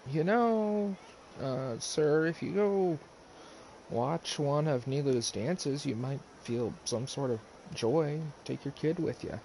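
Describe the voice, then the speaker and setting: A man's voice: on the high side, slow pace, gravelly, darkish tone. A man chatting and musing aloud in casual live game commentary, on a noisy stream.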